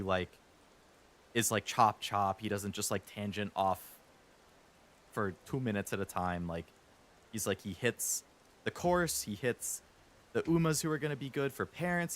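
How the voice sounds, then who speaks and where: A man streaming a game, chatting casually and rambling a bit, stuttering now and then when he talks fast. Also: on the low side, fairly bright tone. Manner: rambling, chatty, lots of fillers.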